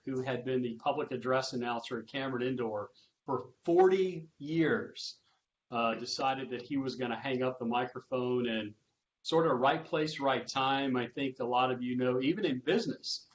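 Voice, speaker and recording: A man's voice: medium pitch, a little hiss or hum. Man hosting a roundtable, dull lo-fi sound. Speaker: roundtable host and sports public address announcer. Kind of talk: moderated roundtable conversation.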